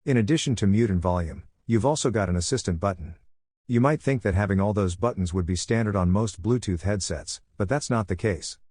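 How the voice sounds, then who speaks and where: A man's voice: lowish voice, fairly quick, a little mellow, breathy edge, dry recording, studio quality. A man reading a scripted product review as a narrator.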